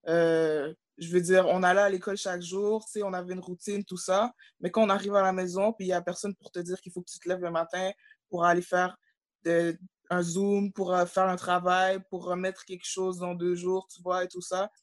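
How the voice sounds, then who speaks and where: A woman's voice: lowish voice, little pitch movement, moderate pace, no echo. Young Québécois woman, student guest, candid conversational delivery, dry close-miked sound over a video call. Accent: Québécois French. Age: young adult. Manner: candid, conversational, fillers like "tu sais".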